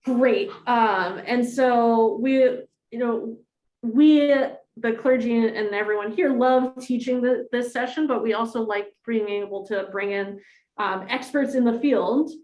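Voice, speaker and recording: Woman, rabbi, friendly host, halting with fillers, roomy echo. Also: a little high, darkish tone, dull audio. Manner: halting, many ums and restarts, friendly. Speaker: rabbi hosting a class. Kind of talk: casual introduction to a congregation.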